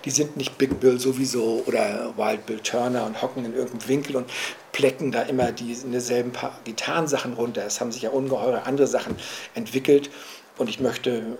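Male interviewee, middle pitch, earnest lecturing manner full of pauses and restarts. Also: gravelly, a little mellow, slight background noise. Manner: searching, lecturing, with many hesitations and restarts. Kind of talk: reflective spoken argument, recorded for a radio production.

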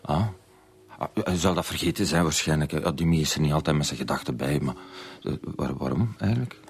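A man's voice: very deep voice, fairly quick, gravelly. An actor playing a defensive middle-aged Flemish father in a radio drama, hesitant and stammering as he covers for his son. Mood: flustered. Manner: hesitant, stammering, repeats himself.

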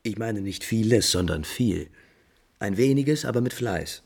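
A man playing an impulsive, refined, lovesick prince in 1950s German radio drama, theatrically shifting between musing and brisk command. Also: lowish voice, expressive, creaky, a little mellow, husky, full character voice. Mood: animated, critical. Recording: 1950s radio recording with music behind.

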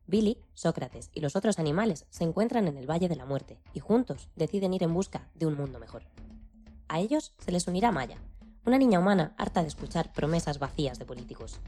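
Female narrator, deep soft-toned voice, scripted storytelling for a radio programme. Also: lively intonation, brisk.

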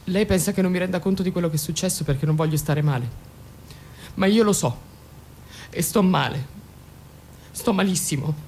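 A woman's voice: normal speed, long pauses, a little mellow, natural voice. An actress playing a distraught, devoted mother in a radio drama, pleading defensively and repeating herself. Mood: anguished. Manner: agitated, insistent, repeats herself. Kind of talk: theatrical radio drama acting. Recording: noisy recording with low rumble.

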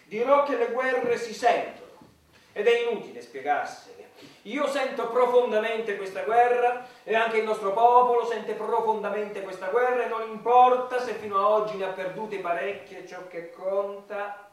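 A pompous army general, high-voiced for a man, played by an actor in a satirical radio play. He speaks in smug, formal oratory, far from the microphone in a very echoey hall. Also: normal speed, natural voice. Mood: pompous, self-satisfied. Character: pompous army general, minister of defence, smugly poetic.

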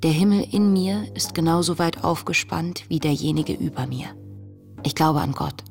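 Radio drama diarist: young woman, searching and contemplative, deep register with plenty of fry. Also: a little mellow. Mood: quietly resolute. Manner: contemplative, measured, intimate. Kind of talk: radio play, diary read as monologue. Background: music.

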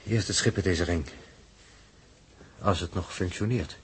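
A man's voice: sits low, unhurried. An actor playing a sombre, resigned spaceship crewman in a 1960s radio drama, speaking in terse, bleak phrases. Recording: slightly noisy 1960s radio recording.

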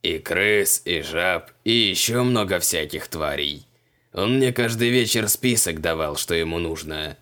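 A man's voice: low-pitched, lively intonation, unhurried, sharp tone, voice pushed down, exaggerated voice. A man voicing a smug, blunt four-armed demon in an audiobook, matter-of-fact in manner. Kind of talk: audiobook character voice.

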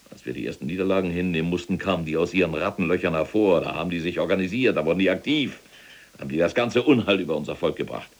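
Pompous, deep, husky German general. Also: male voice, quick pace. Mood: bitter, ranting. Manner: pompous, lecturing, indignant when contradicted. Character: imprisoned SS general, fanatical, vain, self-justifying older man. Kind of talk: German audio drama acting, monologue and argument.

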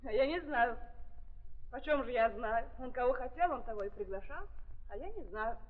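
Young accused woman, weary, very high voice, simple repetitive speech, vintage radio play, thin tinny sound. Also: expressive, normal speed. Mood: weary, sullen. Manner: plain simple speech, repetitive insistent denials. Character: weary, frightened young woman defendant, a prostitute on trial. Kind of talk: 1930s Russian stage radio acting. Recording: tinny, narrow-band 1930s archive recording.

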